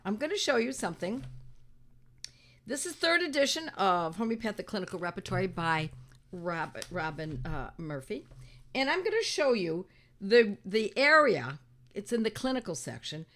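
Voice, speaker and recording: An older woman with a raspy voice from a cold, teaching homeopathy in an animated, emphatic casual talk, often repeating her points. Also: medium pitch, moderate pace. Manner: animated and emphatic, repeats phrases, talks to viewers as friends. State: hoarse from a cold. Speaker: homeopathy teacher hosting her own channel.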